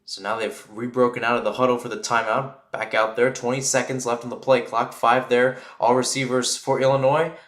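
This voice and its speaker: A man's voice: mid-range voice, fairly quick, hardly any pauses. A man with a mid-range voice commentates live on a football game. He talks through situations in a loose, explanatory way, in the manner of radio play-by-play. The sound is noticeably echoey and roomy. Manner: rambling and explanatory between plays, excited on big plays.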